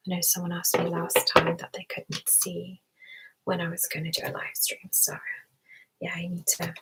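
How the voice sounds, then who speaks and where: A woman hosting an ASMR stream, speaking in a soft whisper with a gentle, soothing, unhurried manner. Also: lowish voice, low-quality recording. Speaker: ASMR live stream host. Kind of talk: ASMR personal attention roleplay.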